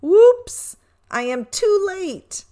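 Woman voicing an eager, high-pitched little boy. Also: very slow, heavily acted. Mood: dismayed. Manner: exclaiming, sing-song, childlike excitement. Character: proud, eager little toddler boy in a picture book.

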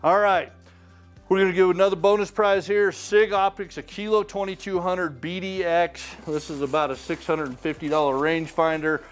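A man's voice: high voice, steady tone, normal speed, faint music behind. A middle-aged man hosting a sweepstakes drawing in a small studio, casually announcing winners' names and towns off the cuff. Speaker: sweepstakes host. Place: indoor studio with wood walls and mounted trophies.

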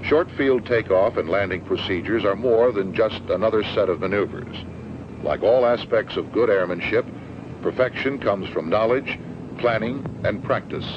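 Low-voiced American training film narrator, male. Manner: measured, matter-of-fact, formal. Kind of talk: scripted instructional narration, 1970s training film. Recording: dull, noisy old 16mm film soundtrack.

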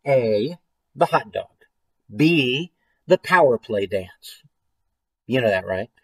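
An American man in his forties with a medium-pitched voice hosts a children's story time. He is chatty and playful, addressing listeners directly. The sound is dry, with little room echo. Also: expressive, takes it slowly, a bit bright. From St. Louis area, United States. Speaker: children's author and illustrator reading his book. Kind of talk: children's story time read-aloud with trivia quiz. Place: small room at home, indoors.

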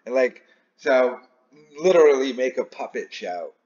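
Slow-paced, rambling man in dull lo-fi audio. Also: medium pitch, animated, a little mellow, breathy edge. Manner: baffled, rambling, repeats phrases for emphasis. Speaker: online commentator. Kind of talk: casual opinion commentary. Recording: dull, lo-fi sound.

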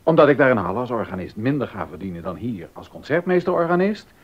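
An actor playing a stern, exacting baroque composer and organist in a docudrama, emphatic and easily indignant. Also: male voice, big pitch swings, fast talker, slightly rough. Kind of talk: docudrama radio acting. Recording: dull audio with rumbling noise.

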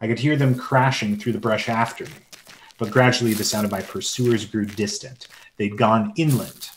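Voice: mid-range voice, a little hiss or hum, close to an everyday voice, rough recording. An actor playing a narrator who recounts a boyhood sea adventure in scripted first-person narration.

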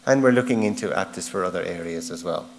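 Middle-aged man, fairly low voice, conference keynote, informal explaining, plain-spoken, emphatic stresses. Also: fast, slight background noise. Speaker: keynote speaker. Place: large wood-panelled auditorium, at a lectern. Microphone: lectern gooseneck microphone.

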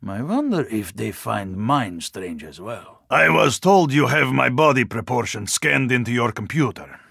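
An actor playing a hulking, frozen Russian super-soldier in a video game, speaking formally and deliberately, earnest and stoic, with a Russian accent. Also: male voice, mid-range voice, expressive, gravelly, fairly bright tone, husky, dry recording, clean studio sound, full character voice. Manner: formal, deliberate, literal-minded phrasing with dropped articles.

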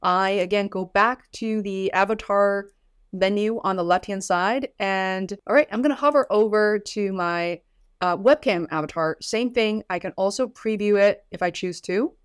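A woman's voice: mid-range voice, normal speed, clean tone, no room sound. Tutorial host, woman in her thirties, native Mandarin speaker, upbeat and chatty, dry close mic. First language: Mandarin Chinese. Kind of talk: software tutorial. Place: home studio room.

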